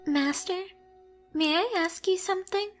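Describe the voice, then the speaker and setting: A woman's voice: very high-pitched, unhurried, soft background music. A woman playing a devoted, anxious and possessive young catgirl pet in an ASMR anime roleplay, timid and hesitant as she pleads with the listener. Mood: nervous, tender.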